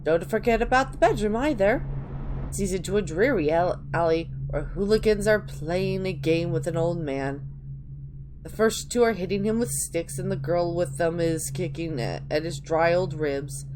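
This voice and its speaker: A woman's voice: on the low side, moderate pace, natural voice. A woman voicing a sinister, rambling old woman who reminisces cloyingly in a dramatic reading. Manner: cloying, calls listener dearie. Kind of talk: dramatic reading of a game journal. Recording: clearly noisy home recording.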